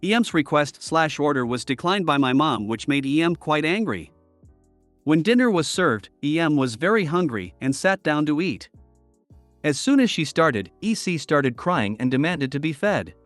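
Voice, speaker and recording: Man reading reddit stories, higher voice. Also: sing-song, moderate pace, dry recording, soft background music. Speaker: reddit story narrator. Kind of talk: scripted narration reading posts.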